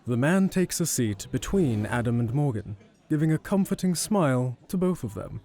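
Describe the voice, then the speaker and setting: A man's voice: medium pitch, big pitch swings, normal speed, dark tone, soft background music. A man reading scripted third-person narration for an audio drama.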